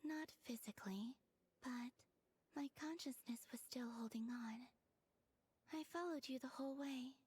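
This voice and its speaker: A woman voicing a gentle, ethereal young moon maiden in a video game, softly and breathily, with tender, measured delivery. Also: high-pitched, full character voice. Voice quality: soft, whispery, breathy.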